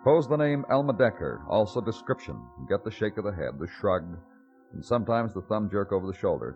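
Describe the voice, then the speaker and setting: An actor playing a weary, hard-boiled New York police detective, terse and clipped, in old radio crime style. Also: male voice, lowish voice. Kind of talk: old-time radio crime acting and noir narration. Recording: muffled vintage radio recording.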